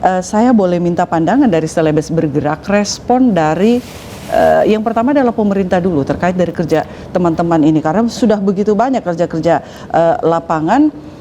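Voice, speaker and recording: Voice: medium pitch, mellow, a little hiss or hum. A talk show host with an Indonesian accent, interviewing guests with polite, wordy questions and frequent acknowledgements.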